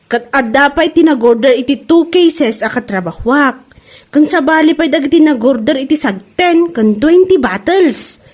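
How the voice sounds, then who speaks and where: A woman playing an aggrieved, quick-tempered seller in a comedy drama, with an Ilocano accent. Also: sits high, expressive. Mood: chatty, proud.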